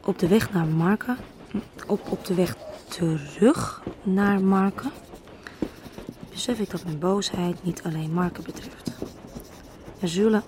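An actress playing an indignant, determined grown woman in a Dutch radio drama, speaking in a measured, halting, earnest way. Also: on the low side, background noise, close to an everyday voice.

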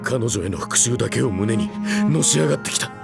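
A man's voice: mid-range voice, animated, brisk, gravelly, over loud music. An actor playing a vengeful, anguished man in an intense, tormented audio drama monologue.

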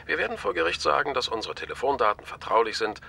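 An actor playing a shrewd media boss in a radio drama, polite but pointed, wry and authoritative. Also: male voice, medium pitch, big pitch swings, fast, gravelly, pushed and bright, breathy edge, heavily acted. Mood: wry, decisive. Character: brisk, shrewd male media boss, wryly amused, decisive.

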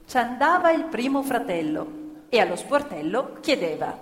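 High-voiced working-class woman, echoing hall. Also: big pitch swings, moderate pace. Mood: wry storytelling. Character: earnest working-class woman telling workers' experiences and fables. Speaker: stage actor and narrator. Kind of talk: theatrical radio-theatre recitation and storytelling. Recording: live hall with long reverb, music. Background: prominent live music.

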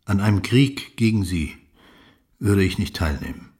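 A guarded older general from a 1930s radio drama. His voice sits low, and he talks in clipped, weighty military phrases, giving little away. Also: expressive, measured pace, a little mellow. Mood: firm, solemn. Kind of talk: radio play dialogue.

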